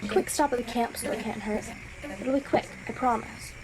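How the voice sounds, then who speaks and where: A woman's voice: on the high side, moderate pace, natural voice. An actress playing an earnest, rule-abiding young girl scout chattering dutifully to herself in a radio audio drama. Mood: eager, dutiful. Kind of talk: scripted audio drama monologue. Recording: noisy radio transmission.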